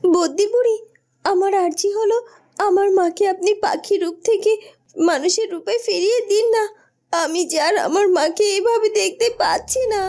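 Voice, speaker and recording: A woman's voice: very high voice, moderate pace, a little mellow. A woman voicing a distressed, devoted young village girl in a cartoon, speaking in a pleading, plaintive way. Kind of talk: dramatic cartoon storytelling.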